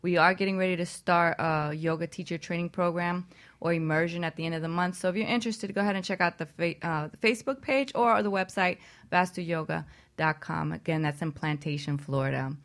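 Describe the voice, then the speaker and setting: A woman's voice: on the low side, brisk. A woman co-hosting a casual radio show, a yoga teacher chatting and giving out plugs.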